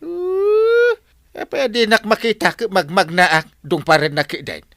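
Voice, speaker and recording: An actor playing a lazy, sleepy village captain in a comic radio drama, stammering and evasive with an Ilocano accent. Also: male voice, sits high, animated, unhurried, a bit bright, no room sound, exaggerated voice. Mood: surprised, bumbling. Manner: stammering, full of "eh" and "ay".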